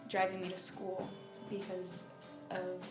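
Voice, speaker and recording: A young adult woman from Miami telling her personal story in an interview, speaking informally and haltingly with lots of filler phrases. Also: on the low side, monotone, roomy sound, over music. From United States (Miami, Florida). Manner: informal, halting, frequent "like" and "you know".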